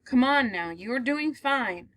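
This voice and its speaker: A woman voicing a calm, kindly gentleman who soothes a frightened girl in a read-aloud play. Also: a little high, slow, voice pushed up. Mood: concerned. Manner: soothing, reassuring.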